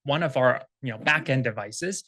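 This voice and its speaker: A man's voice: medium pitch, normal speed, sharp tone, no room sound. A man at a conference panel giving a long, technical answer to an audience question in fluent run-on sentences. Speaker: startup executive presenting.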